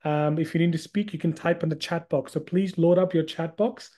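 A man's voice: fairly high pitch, steady tone, fairly quick, mellow. A man in his thirties from Hong Kong, teaching an interactive online class over a video call, chatty and often repeating words as he asks the group questions. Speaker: Islamic studies teacher.

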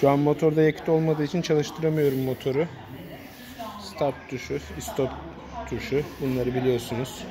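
A man's voice: a little high, fairly even intonation, moderate pace, crowd noise. A man filming a walkaround review in a showroom, pointing out parts in a plain, matter-of-fact way. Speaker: motorcycle reviewer. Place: indoor motorcycle showroom. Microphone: handheld phone camera.

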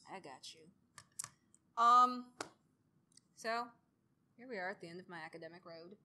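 A woman's voice: on the low side, a little slow, sharp tone, slight background noise. A woman in her early twenties giving an informal presentation as a student audio drama creator, rambling and self-deprecating. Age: early 20s.

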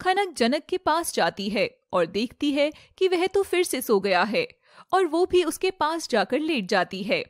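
A woman reading scripted narration as the storyteller of an animated fairy tale. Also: sits high, unhurried, no room sound, clean studio sound.

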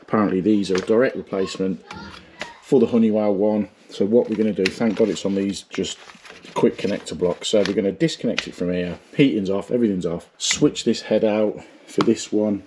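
Tradesman, forties, low voice, blunt and fed up, narrating his own job on camera with faint background noise. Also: fairly even intonation, a little fast. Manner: frank, swearing, stressed and rambling. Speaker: plumber vlogger. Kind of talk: casual vlog with job commentary. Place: parked van, then a small bathroom.

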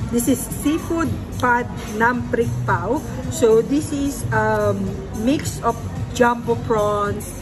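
A woman's voice: fairly high pitch, slow pace. A woman in her thirties with a Filipino accent, a native Tagalog speaker, giving a casual food review as a vlogger in a restaurant. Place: restaurant dining room. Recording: loud music behind voice. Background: music.

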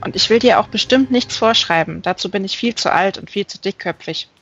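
A woman's voice: mid-range voice, a little fast, soft background music, close to an everyday voice. Woman voicing stubborn old mother, blunt, protective, admonishing, audiobook, slightly dull recording.

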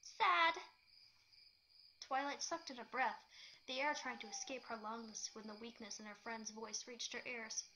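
High, bright-voiced woman narrating a story. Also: fairly even intonation, moderate pace, slight background noise, poor audio. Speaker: fan fiction reader. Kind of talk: dramatic scripted narration.

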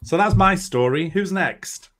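A man's voice: high voice, animated, unhurried, a bit bright. A middle-aged Welsh man, Welsh his first language, talking enthusiastically and with self-deprecating humour on a video call panel. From Wales. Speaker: author and druid, panelist. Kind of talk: casual panel talk. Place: home room.

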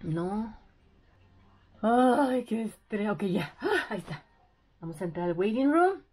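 A young woman chatting excitedly in a casual vlog, thinking aloud as she goes. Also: mid-range voice, takes it slowly. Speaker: vlogger.

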